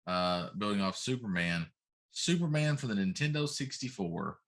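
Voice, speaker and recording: A middle-aged man with a fairly low voice chats casually as a show co-host. He is emphatic and likes to exaggerate his complaints for comic effect. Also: sing-song, normal speed, a bit bright. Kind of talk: casual chat.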